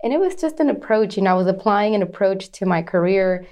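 A woman's voice: mid-range voice, lively intonation, quick pace, dark tone. Chatty woman telling her story. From Arizona, USA. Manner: chatty, frequent laughs and restarts. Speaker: guest. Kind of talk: conversational interview storytelling.

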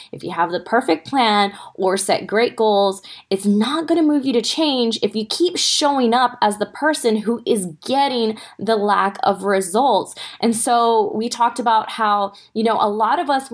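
A woman hosting a fitness podcast for mothers, sounding a little stuffy, talking casually and straight to her listeners with lots of "right?" asides. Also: medium pitch. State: stuffy from a sore throat. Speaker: podcast host and fitness coach. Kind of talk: casual motivational podcast talk.

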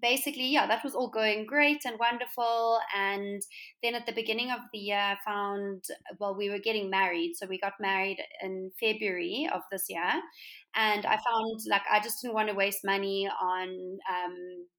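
A woman's voice: medium pitch, steady tone, moderate pace, a bit bright. A young woman with a South African accent, chatting as a podcast guest, with lots of ums and restarts. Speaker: podcast guest, interior designer. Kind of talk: casual interview chat.

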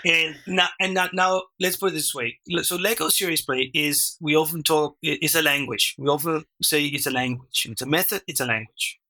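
A man whose first language is Danish, a workshop facilitator explaining his method as a podcast guest, animated and full of restarts and "right?". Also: on the high side, moderate pace, hardly any pauses. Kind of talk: conversational interview.

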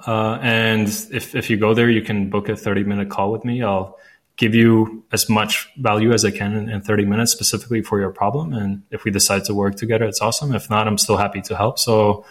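A man's voice: lowish voice, fairly even intonation, brisk. A man from Latvia, a native Latvian speaker, talking in English as an interview guest, easygoing and friendly with many fillers. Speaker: guest, recruitment company founder. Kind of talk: casual interview answers.

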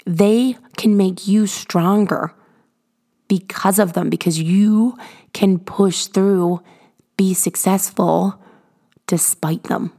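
A woman hosting a motivational podcast, her voice hoarse and raspy from illness, talking earnestly and encouragingly from her garage. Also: mid-range voice, steady tone, warm tone. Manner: earnest, encouraging, drawn-out emphasis. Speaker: podcast host.

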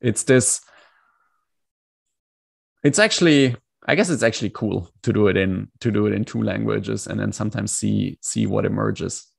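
Man, German native, gravelly voice, lowish pitch, reflective, hesitant, video call. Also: normal speed. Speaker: coach, guest. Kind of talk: casual interview.

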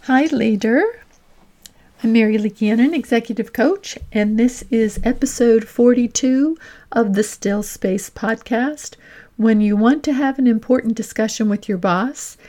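A woman podcast host and executive coach delivering a scripted, instructional monologue in a deliberate way, speaking directly to the listener. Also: fairly high pitch, warm tone.

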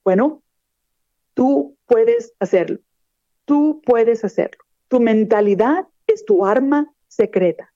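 A woman's voice: a little high, lively intonation, slow, mellow, no echo, dull audio. A middle-aged Mexican woman from northern Mexico hosting a motivational radio show, talking earnestly and encouragingly straight to the listener. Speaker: radio show host and life coach.

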